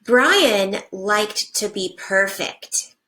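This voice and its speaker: A woman teacher reading a picture book aloud to young children, with simple deliberate phrasing and hushed asides. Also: medium pitch, lively intonation, rough recording. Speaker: first grade teacher.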